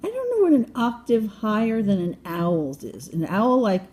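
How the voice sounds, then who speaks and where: A woman's voice: medium pitch, huge pitch swings, normal speed, dark tone. A woman chatting casually and playfully as she helps with a recording.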